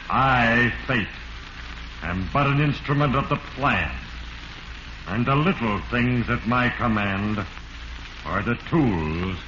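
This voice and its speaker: An actor voicing a solemn spirit of destiny, narrating a 1940s radio mystery with grave, portentous delivery. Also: male voice, mid-range voice. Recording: echoey, muffled, noisy vintage radio recording.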